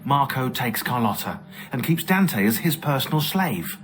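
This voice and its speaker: Lively, sardonic male-acted historian narrator. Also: medium pitch, normal speed, noisy background, lightly acted. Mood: gossipy. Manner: sardonic, storytelling. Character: wry, gossipy male historian narrating biographies. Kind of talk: conversational game narration. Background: music.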